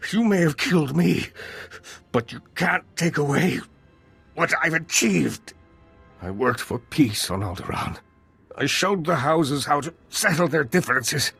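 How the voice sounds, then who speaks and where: A man's voice: a little high, expressive, a little slow, vocal fry, a bit bright, slightly rough, heavily acted. An actor playing a scornful, self-righteous villainous nobleman in a video game, theatrical and sardonic. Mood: bitter, dying fury. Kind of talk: dramatic video game acting. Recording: music behind the voice.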